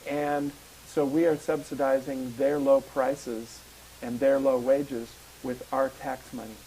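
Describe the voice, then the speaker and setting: A man co-hosting an activist talk show, hoarse from a cold, speaking casually with many pauses and fillers and reading facts aloud. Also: medium pitch, flat delivery, warm tone, slight background noise, poor audio. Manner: hesitant, many ums, reads facts from a card. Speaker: public access show co-host.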